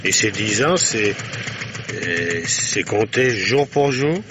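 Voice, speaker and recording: A man's voice: on the low side, expressive, takes it slowly. An actor playing a sly, evasive fisherman in a 1950s radio drama, speaking in a folksy, hesitant way. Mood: probing. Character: older male oyster fisherman, sly and evasive. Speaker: fisherman Lestona. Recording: old radio recording with hiss.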